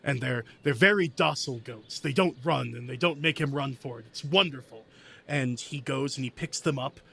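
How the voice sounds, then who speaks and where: A man's voice: mid-range voice, moderate pace, vocal fry, pushed and bright, husky. A man playing a tabletop game, narrating his character in the third person with dramatic relish.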